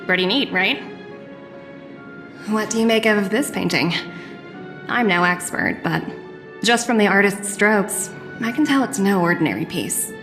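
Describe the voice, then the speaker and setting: An actress playing a poised, cultured young corporate executive in a video game, speaking politely and in measured, articulate phrases. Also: female voice, mid-range voice, expressive, music in the background. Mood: breezy, polite.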